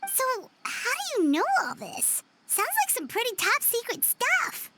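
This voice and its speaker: A woman voicing a tiny, excitable, nosy fairy companion in a video game, with a squeaky childlike voice and blunt, exclamatory delivery. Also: unhurried, full character voice. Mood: curious, teasing. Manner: exclamatory, blunt, refers to herself by name. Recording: loud music behind the voice. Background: game music.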